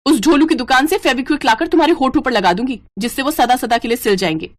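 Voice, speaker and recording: A woman voicing a frustrated, sharp-tongued young new bride in a story, complaining and scolding. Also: high voice, fast, natural voice. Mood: angry.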